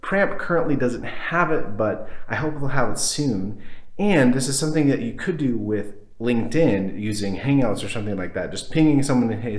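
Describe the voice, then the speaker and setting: A man hosting his developer channel and chatting casually on a video call, loosely and a bit rambling. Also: medium pitch. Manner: upbeat intro, then relaxed and rambling. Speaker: YouTube host and developer.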